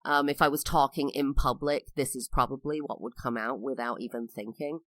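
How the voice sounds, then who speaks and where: A woman's voice: a bass voice, fairly even intonation, moderate pace, fairly bright tone, dry recording. A woman in her thirties with an English accent touched by American, hosting a personal podcast monologue with careful enunciation and a sing-song lilt. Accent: English with a slight American tinge. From United Kingdom. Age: 30s. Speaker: podcast host.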